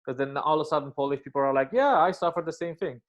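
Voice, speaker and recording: A man from Romania, a comedian whose first language is Romanian, chatting casually and briskly as a podcast guest, with lots of fillers. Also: on the high side.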